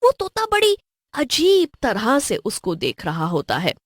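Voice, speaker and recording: Slow, expressive woman storyteller. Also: on the high side, dry recording, clean studio sound. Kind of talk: scripted horror story narration.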